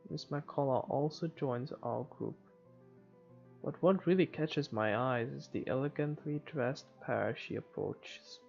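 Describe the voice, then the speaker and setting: A man's voice: medium pitch, takes it slowly, gravelly, a little mellow. A man reading visual novel narration aloud for a playthrough, on a dull lo-fi mic. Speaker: let's player reading game narration. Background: faint game music.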